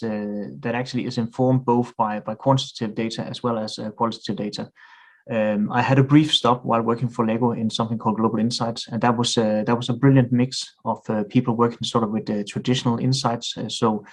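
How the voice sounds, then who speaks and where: A middle-aged man speaking English with a Danish accent, his delivery level and flat in pitch. He talks thoughtfully, pausing on fillers and restarting phrases as he goes. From Denmark. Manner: thoughtful, frequent hesitations and restarts. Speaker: guest. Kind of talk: podcast interview.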